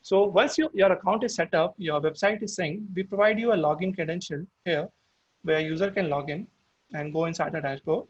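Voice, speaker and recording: A man's voice: high-pitched, a little fast, breathy edge, highs cut off. A man with an Indian accent and Hindi as his first language, giving a webinar product demo, explaining step by step with frequent restarts. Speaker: product presenter.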